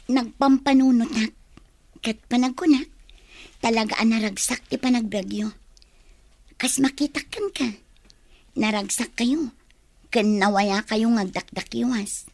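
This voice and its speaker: A woman plays a resentful, rebellious schoolgirl in an Ilocano radio drama. The voice is high and recorded dry, with a Filipino accent. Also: darkish tone, no room sound. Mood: weary, confiding.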